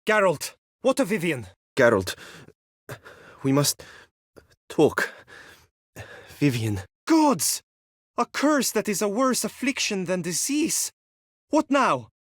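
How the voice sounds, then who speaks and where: Lovestruck chivalrous knight, animated and earnest. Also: male voice, high voice, takes it slowly, long pauses, no room sound, studio quality, exaggerated voice. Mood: anxious, pleading. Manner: earnest, courtly, theatrical, archaic phrasing. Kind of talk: video game character acting, courtly formal speech.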